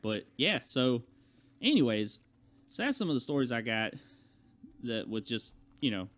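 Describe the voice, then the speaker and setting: A man's voice: mid-range voice, sing-song, unhurried, a bit bright. A man telling personal stories to his viewers in a casual, rambling, colloquial way, often acting out bits of conversation. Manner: colloquial, conversational, acts out quoted exchanges.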